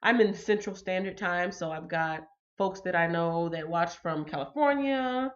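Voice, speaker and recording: A woman in a casual live chat talks with her viewers in a low, chatty voice full of ums. She sounds a little stuffy and congested. The recording is dull and lo-fi. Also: measured pace. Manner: chatty, reads out viewer comments, frequent ums. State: slightly congested. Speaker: livestream host.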